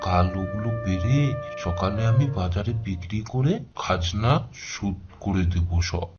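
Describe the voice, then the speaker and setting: Man as a low-voiced earnest cartoon villager. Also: a little slow. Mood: eager. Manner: earnest, talks to himself aloud. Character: earnest young villager, dutiful son. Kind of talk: children's cartoon voice acting. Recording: dull lo-fi sound, loud music.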